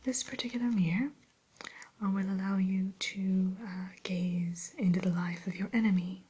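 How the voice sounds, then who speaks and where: Woman playing a breathy, whispering evil queen shopkeeper. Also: mid-range voice, steady tone, normal speed, mellow. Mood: selling, confiding. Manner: hesitant, coy, genteel salesperson phrasing. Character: refined, sly evil queen running an enchanted mirror shop. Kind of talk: whispered ASMR roleplay.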